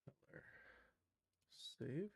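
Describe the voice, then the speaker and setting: A man's voice: extremely slow, a little mellow, dry recording. A man casually narrating his own steps in an on-screen tutorial, thinking aloud in short muttered remarks. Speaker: game developer streaming a tutorial.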